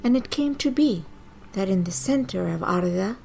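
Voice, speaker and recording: A woman narrating scripted mythology in a dramatic, deliberate storytelling manner with measured pauses. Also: medium pitch, lively intonation, gravelly, mellow, faint music behind, rough recording. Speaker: podcast host and narrator.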